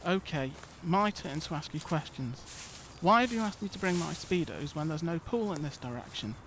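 Sceptical, put-upon young lad, highish voice played by a man. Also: animated, moderate pace, a bit bright, slight background noise. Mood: wry. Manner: dry, grumbling, questioning. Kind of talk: audio drama dialogue.

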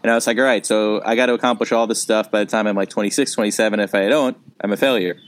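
A man's voice: fairly low pitch, fairly even intonation, very fast. A man in his thirties, a comedian co-hosting a podcast, talking casually and thinking aloud with frequent restarts.

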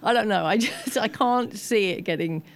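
A woman's voice: medium pitch, moderate pace. A woman speaking as an expert guest on a film discussion panel, explaining things enthusiastically and discursively. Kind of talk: post-screening panel discussion.

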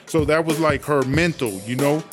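A man plays a streetwise, boastful female prison boss, pitched fairly high. The talk rambles along in profane slang, as in a comedy radio play, with music prominent behind the voice. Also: fairly even intonation, moderate pace, lightly acted. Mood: gossipy, emphatic. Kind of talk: comedy audio drama monologue.

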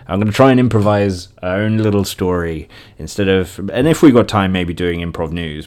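A man's voice: lowish voice, darkish tone. A British man in his thirties hosting a casual solo podcast, chatting in a rambling way and often interrupting himself. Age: 30s. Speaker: podcast host.

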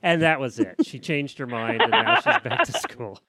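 A man's voice: medium pitch, wildly swooping pitch, normal speed, pushed and bright, no echo. A middle-aged man co-hosting a casual podcast with joking, teasing banter. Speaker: podcast co-host.

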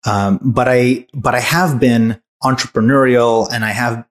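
A man's voice: mid-range voice, a little slow. A man in his forties from Hungary, a startup founder answering interview questions thoughtfully, with many restarts and fillers.

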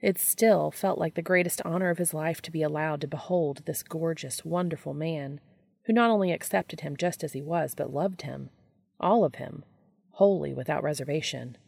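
A woman narrates a story in a low voice thick with vocal fry. The reading is scripted audiobook narration, captured close and dry. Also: normal speed, a little mellow, dry recording. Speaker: audiobook reader of fan fiction.

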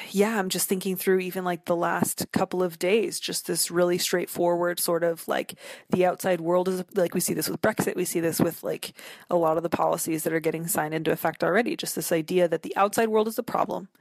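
A woman giving thoughtful, discursive commentary as an interview guest, often halting as she works out her ideas. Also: lowish voice, steady tone, creaky, a little mellow, no echo. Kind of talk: conversational literary and cultural commentary.

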